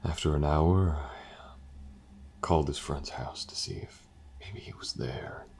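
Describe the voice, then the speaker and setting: A man playing a weary, grieving man in an intimate audio drama, speaking in a hushed, halting, confiding way with a gravelly, husky voice. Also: a bass voice, whispered, heavily acted. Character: weary, grieving man haunted by his murdered loved one. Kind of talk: intimate audio roleplay drama addressed to the listener.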